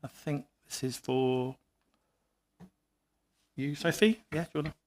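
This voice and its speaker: Gruff-voiced man with a cough. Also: fairly high pitch, steady tone, darkish tone. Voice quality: rough, gruff voice. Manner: halting, repeats words while answering questions. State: hoarse with a cough. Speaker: water company representative. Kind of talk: council committee presentation. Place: council committee meeting room.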